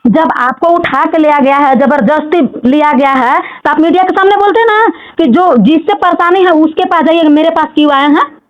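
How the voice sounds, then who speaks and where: A woman's voice: high-pitched, moderate pace. A woman with a Jharkhand Bhojpuri-influenced Hindi accent, arguing insistently and repeating her points in a heated phone conversation. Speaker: caller in a personal dispute. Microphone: phone call. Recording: dull lo-fi recorded phone call.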